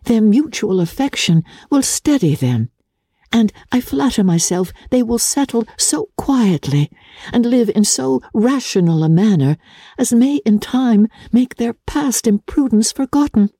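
A woman voicing a gentle, hopeful young gentlewoman in a dramatic reading of a classic novel, earnest and soothing in formal period diction. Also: a little high, expressive, a little slow, breathy edge, dry recording, voice pushed up, heavily acted. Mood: hopeful, reassuring.

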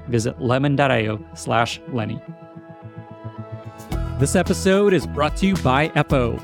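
A man hosting a podcast, reading scripted sponsor spots and asking his guest questions. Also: medium pitch. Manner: smooth scripted ad delivery. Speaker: podcast host. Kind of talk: read ad spots and interview questions.

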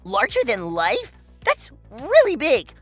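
A woman voicing a silly, naive, excitable childlike sidekick with a goofy, exaggerated manner in a children's audio comedy. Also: fairly high pitch, takes it slowly, husky, soft background music, heavily acted. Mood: excited, puzzled.

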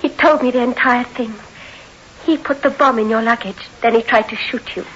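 Woman playing a grieving widow, fairly high voice, earnest, dramatic, muffled vintage radio drama. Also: moderate pace, a bit bright, close to an everyday voice. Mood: shaken, grave. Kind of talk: 1960s radio drama acting. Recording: muffled, hissy old radio recording.

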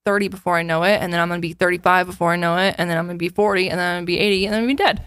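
A woman of twenty-seven chatting casually and candidly as a podcast co-host, informal and quick to swear. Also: on the low side, steady tone, a bit bright, smooth, no room sound, studio quality. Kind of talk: casual chat answering listener questions.

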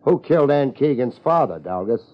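An actor playing a cool, wry private detective in a 1950s American radio drama, with a laid-back, clipped way of talking and an American accent. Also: male voice, mid-range voice, expressive, normal speed, lightly acted. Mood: accusing. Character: cool, hard-boiled male private detective. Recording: muffled old radio broadcast.

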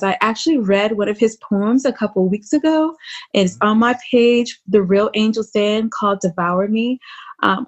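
Casual woman hosting a podcast. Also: mid-range voice. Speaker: podcast host.

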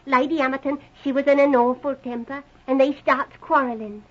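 An actress playing a loyal, simple English housemaid with a working-class accent, deferential and earnest, in 1940s radio drama style. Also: female voice, high-pitched, fairly even intonation, fairly quick, close to an everyday voice. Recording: muffled old radio recording.

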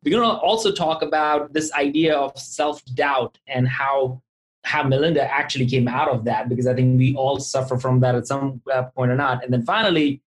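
A man hosts a podcast from an office. His interviewing is casual and informal, with short affirmations dropped in, and his voice sits at an ordinary pitch. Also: normal speed. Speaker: podcast host.

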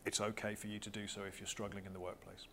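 Persuasive man with a lowish voice. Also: completely flat delivery, fast, fairly bright tone, a little hiss or hum. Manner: fluent and persuasive, advocacy tone. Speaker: guest, founder of a social enterprise. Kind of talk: podcast interview.

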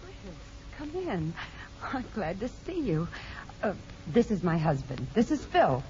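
A woman's voice: mid-range voice, wildly swooping pitch, a little slow, background noise. An American woman playing a sympathetic, candid married aunt, speaking expressively and confidingly in 1950s radio drama style. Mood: guarded welcome. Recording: muffled old radio broadcast.

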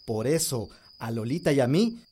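An actor playing a young farmer and husband who speaks calmly and reassuringly. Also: male voice, medium pitch, normal speed, breathy edge. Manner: calm, reassuring. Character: young male farmer Gabriel, hopeful husband. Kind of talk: radio drama acting.

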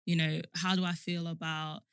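Bright-voiced woman chatting candidly. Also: on the low side, flat delivery, moderate pace, smooth, no echo, clean studio sound. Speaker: podcast co-host. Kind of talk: candid casual chat, giving advice.

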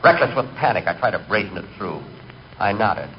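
A man's voice: on the low side, animated, fairly quick, lightly acted. An actor playing a hapless, melancholy man who narrates his humiliations in a 1940s radio comedy. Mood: rueful, rattled. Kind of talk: comic first-person narration, 1940s radio. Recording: muffled, noisy 1940s radio recording.

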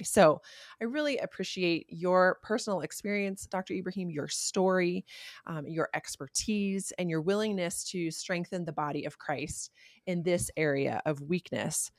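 A woman hosting a podcast in an earnest, reflective way. Her voice sits on the low side, and the sound is very dry and close with no room around it. She talks with thoughtful sincerity. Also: moderate pace, dry recording. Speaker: podcast host. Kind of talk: podcast intro and interview.

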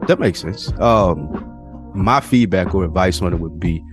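A man co-hosting a podcast, talking candidly and with profanity in a relaxed chat, often acting out what other people say. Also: deep voice, a little slow, soft background music. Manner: candid, animated, profane, acts out quoted voices. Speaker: podcast co-host. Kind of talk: casual conversation.

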